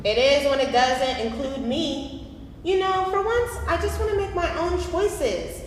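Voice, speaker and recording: A woman's voice: high voice, unhurried. A young woman acting a frustrated, yearning twenty-one-year-old in a staged play reading, recorded in an echoey, noisy hall. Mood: restless, defiant. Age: young adult.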